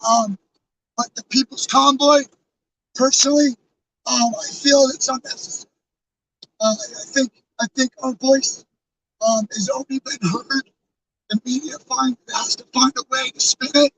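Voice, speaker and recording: A hoarse man with a fairly high voice talks earnestly to his live chat, rambling with plenty of fillers and restarts. He sits back from the microphone, and faint background noise hums under his words. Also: steady tone, a little slow, long pauses, a bit bright, far from the mic, low-quality recording. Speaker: live streamer with a truck convoy. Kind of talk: casual live-stream talk to chat.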